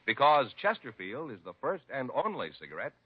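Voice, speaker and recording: Vintage radio announcer, male, American: lowish, very animated intonation, emphatic scripted read, dulled old broadcast sound. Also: a little fast, breathy edge, no room sound, highs cut off. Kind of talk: 1950s radio announcing, scripted narration and ad reading.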